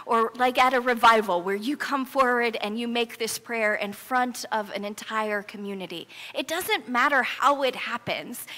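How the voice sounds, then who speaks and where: High, bright American woman preaching in a hall. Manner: emphatic, animated, earnest. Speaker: pastor. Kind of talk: sermon, conversational storytelling preaching. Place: church sanctuary, preaching before a congregation. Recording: reverberant church hall.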